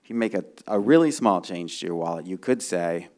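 A man's voice: low voice, expressive, fairly quick, darkish tone. A middle-aged man explaining technical matters informally on a conference panel, often checking in with "right?", speaking into a handheld microphone. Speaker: conference panelist, software developer. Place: conference room stage before a seated audience.

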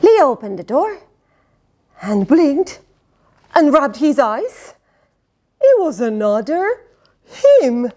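Lady doing a kids read-aloud, super lively up and down voice, slow pace, dramatic with little asides. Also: high voice. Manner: expressive, dramatic, with gasps, sighs and questions to the listener. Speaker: storyteller reading a picture book aloud. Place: indoors, small fabric tent with cushions. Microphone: lapel.

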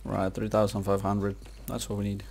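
A man's voice: on the low side, monotone, normal speed, creaky, a little mellow, a little hiss or hum. A man giving casual gameplay commentary, muttering to himself as he plays. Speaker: gaming video creator. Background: video game music and sounds.

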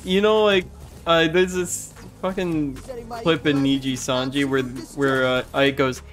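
Slow, lowish-voiced woman gamer. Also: level tone, over music. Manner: sweary, joking banter. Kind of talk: casual gaming chat.

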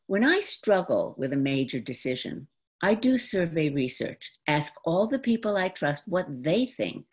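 Low-voiced, expressive older American woman. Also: slightly rough. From New York, United States. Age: 70s. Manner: deliberate, dramatic phrasing with pauses. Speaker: monologue performer. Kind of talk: theatrical autobiographical storytelling monologue. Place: indoor room at home. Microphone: computer mic on a video call.

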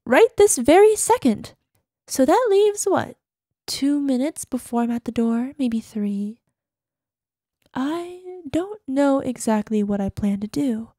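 A woman's voice: a little high, warm tone, no echo, clean studio sound, full character voice. A woman playing an anxious, clingy girlfriend with a possessive, menacing streak in an ASMR voicemail roleplay, using sugary endearments. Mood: menacing, unsettled. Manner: sugary pet names, hesitant pleading sliding into controlled threat.

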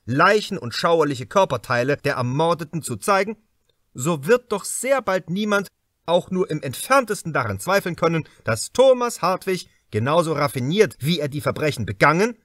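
A severe prosecutor, played by a male audiobook reader, makes a grave formal accusation. The voice is a little high and swings strongly for emphasis, in a dry recording. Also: very animated, moderate pace, a bit bright, no room sound. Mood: grave, insistent.

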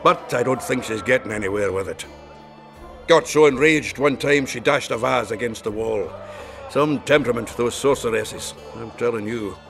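An earthy, bawdy fantasy comrade chats in a mid-pitched male voice. He is wry and good-humoured in the way of a game companion, and music plays clearly behind him. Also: moderate pace, a bit bright. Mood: wry, jovial. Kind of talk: video game character acting.

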